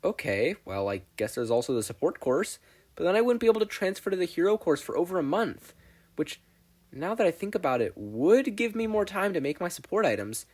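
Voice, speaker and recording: A man's voice: high voice, big pitch swings, a little fast, a little mellow, pitched above the performer's own voice. A man voicing an earnest, hesitant teenage boy who talks politely in a story reading.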